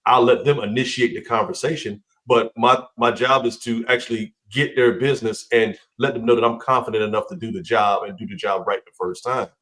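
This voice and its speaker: A man co-hosting a casual podcast discussion, talking in a measured way and repeating words as he starts. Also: mid-range voice, steady tone, fairly bright tone. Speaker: podcast co-host.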